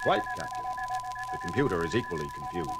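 An actor playing a calm, logical male science officer, speaking in a formal, precise, deadpan way in a 1970s audio drama with loud music underneath. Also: deep voice, fast talker. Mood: measured.

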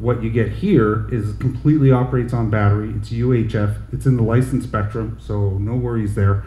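A middle-aged man casually demonstrating audio gear in a store, talking in relaxed salesman patter full of fillers. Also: lowish voice, brisk. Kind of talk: casual product demo. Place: large indoor audio gear shop. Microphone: headset and handheld mics, switched. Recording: roomy shop sound, faint background noise.

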